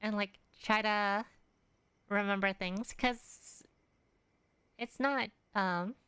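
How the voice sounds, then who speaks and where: A woman's voice: mid-range voice, takes it slowly, sharp tone, smooth, no echo. A young woman streaming a game, thinking aloud in a rambling, hesitant way with lots of fillers. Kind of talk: casual gameplay commentary.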